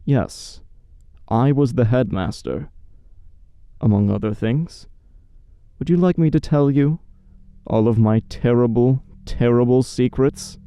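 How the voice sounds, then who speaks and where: A man playing a cold, grandiose villain who rules a dream realm, speaking with measured menace in an audio drama. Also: mid-range voice, dark tone, clean tone, dry recording.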